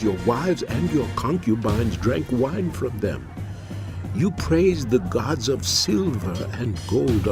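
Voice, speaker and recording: An actor playing a solemn, fearless prophet who rebukes kings in a dramatized audio Bible, with grave, declamatory, deliberate phrasing. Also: male voice, mid-range voice. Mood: stern rebuke.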